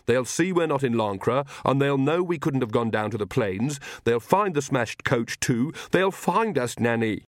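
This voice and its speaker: A man's voice: mid-range voice, lively intonation, a little slow, few pauses, dry recording, clean studio sound, pitched above the performer's own voice, lightly acted. A man voicing an anxious, earnest young mother in an audiobook, plain-spoken and worried.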